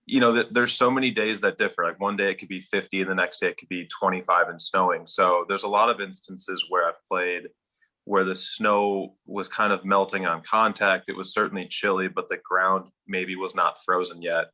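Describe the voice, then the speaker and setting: A man in his thirties with a faint Minnesotan accent. His low voice has a sharp, forceful edge. He tells his story in a steady, reflective way as a guest in a casual interview. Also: moderate pace, rough recording. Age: 30s. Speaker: golf professional guest.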